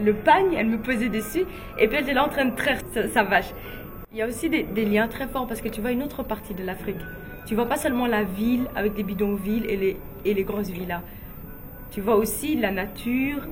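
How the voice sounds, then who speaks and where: A young woman from Guinea telling personal stories in a relaxed, chatty way, with frequent fillers and light laughs. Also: fairly high pitch. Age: young adult. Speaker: interviewee, beauty pageant runner-up.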